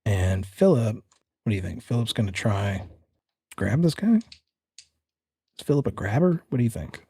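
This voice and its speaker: A man's voice: on the low side, animated, a little slow, dark tone, breathy edge, no room sound. A man narrating a tabletop horror game as game master, switching between story description and conversational rules calls.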